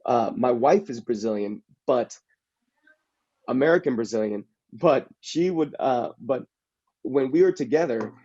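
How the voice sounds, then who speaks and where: A man from the San Francisco Bay Area, a capoeira practitioner and actor, speaking in a casual panel discussion, halting and reflective as he searches for his words. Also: medium pitch, animated, dark tone, husky, muffled recording.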